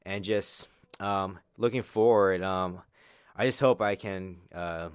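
A young man from Arizona, a college student, talking about his life in a personal interview, hesitant with many pauses and restarts. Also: sits low, clear, resonant tone, no room sound, studio quality. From Arizona, USA. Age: young adult.

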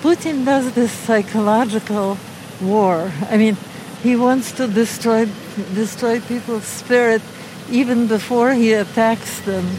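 A woman in her eighties from Ukraine, interviewed outside a restaurant, speaking slowly with soft laughs. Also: on the high side, steady tone, background noise. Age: 80s. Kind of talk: street interview.

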